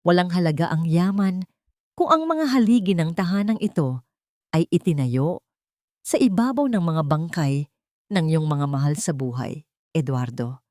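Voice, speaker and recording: A woman's voice: lowish voice, expressive, moderate pace, long pauses, a little mellow, no echo, clean studio sound, natural voice. A woman voicing a stern older grandmother, grave and accusing, in an audio drama. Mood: reproachful. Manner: grave, reproachful. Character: stern, accusing grandmother shaming a guilty man.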